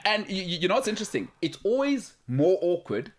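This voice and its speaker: A man's voice: high voice, sing-song, fairly quick. A man hosting a sports talk show, chatting casually and laughing easily. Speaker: sports radio host. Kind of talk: casual studio banter.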